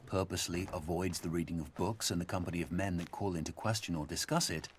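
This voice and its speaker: A man reading scripted philosophical essays in a measured, emphatic, husky voice, as a thoughtful narrator. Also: sits low, steady tone. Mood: reflective, earnest. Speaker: voice actor reading quotations for game audio logs.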